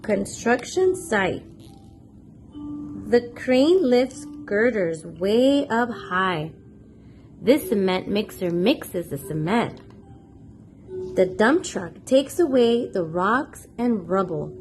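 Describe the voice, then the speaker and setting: Female children's storyteller, slow and very expressive picture-book delivery, addressing kids directly, music underneath. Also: mid-range voice. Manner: clearly paced, expressive, addresses young listeners with questions. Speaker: children's read-aloud storyteller and channel host.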